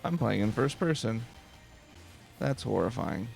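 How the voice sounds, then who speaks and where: A man hosting a video game let's play, giving calm, casual commentary and talking to himself as he plays. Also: medium pitch, measured pace, creaky, soft background music. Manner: casual, talks to himself while playing. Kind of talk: calm, slow-paced gameplay commentary.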